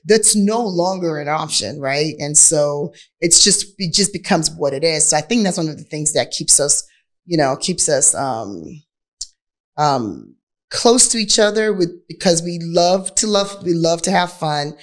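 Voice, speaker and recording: A woman's voice: low voice, measured pace. A woman co-hosting a podcast in casual conversation, with a candid, playful and teasing manner. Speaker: podcast co-host. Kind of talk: casual couples chat.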